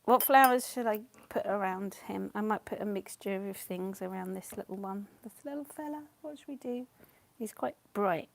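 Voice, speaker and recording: A woman's voice: medium pitch, normal speed, gravelly. A woman chatting casually as she paints on a livestream, her voice partly lost and coughing, laughing often and answering comments. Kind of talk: casual chat while painting.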